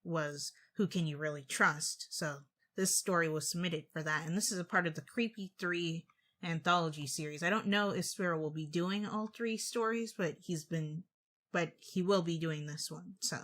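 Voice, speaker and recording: A man's voice: fairly high pitch, moderate pace, a bit bright. A man giving casual, off-the-cuff reaction commentary as the host of the video.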